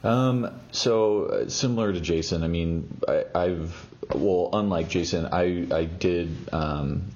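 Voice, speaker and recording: A man's voice: deep voice, slow, a little mellow, muffled recording. A man from Seattle talking hesitantly in a casual chat, with many ums and restarts. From Seattle, USA.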